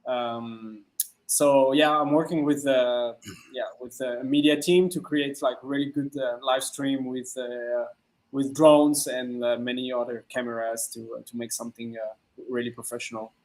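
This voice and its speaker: A man in his thirties with a French accent, a DJ guest on a video-call interview, halting and searching for words with many fillers. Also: mid-range voice, fairly even intonation, darkish tone, rough recording. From France. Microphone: studio mic on a video call.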